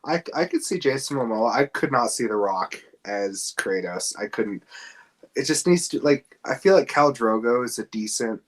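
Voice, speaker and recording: Man, mid-range voice, podcast host, casual, questioning, halting phrasing. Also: medium pitch, lively intonation.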